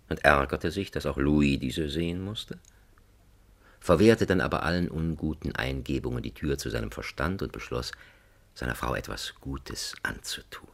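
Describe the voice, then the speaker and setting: A man narrating a satirical radio drama as a wry, mock-solemn storyteller, with a gravelly, husky voice. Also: rumbling low, heavily acted. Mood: dry, ironic. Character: wry, leisurely male storyteller of a satirical fairy tale. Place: radio studio.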